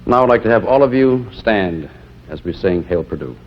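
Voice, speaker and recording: A man's voice: lowish voice, expressive, moderate pace. A man addressing an assembly at a ceremony, on a 1970s live recording. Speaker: ceremony speaker. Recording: muffled 1970s live recording, faint noise.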